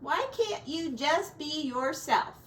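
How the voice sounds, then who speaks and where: Storybook role, played by a woman reader: fretful farm woman at wit's end, high and very animated, dramatic scolding, faint noise behind. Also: slow pace. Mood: exasperated scolding. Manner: dramatic, emphatic scolding and fretting. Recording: distant mic with faint background noise.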